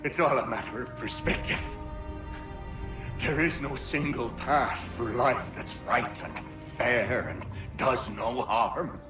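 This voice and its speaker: An actor playing a defeated, wounded British officer in a video game, bitter and philosophical, with a sardonic, breathy delivery. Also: male voice, medium pitch, slow, lightly acted. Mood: sardonic, fading. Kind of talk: dramatic video game acting. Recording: dramatic music under the voice.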